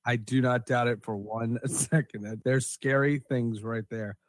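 A man's voice: medium pitch, fairly even intonation, unhurried, dry recording, studio quality. A man hosting a podcast in casual chat, with an informal, exclamatory way of talking. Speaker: podcast host.

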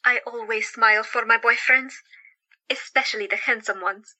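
A woman's voice: a little high, fairly even intonation, normal speed, pushed and bright, poor audio. An actress playing a joyous woman doctor in an audio drama, with playful, flirtatious banter. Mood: flirty, teasing.

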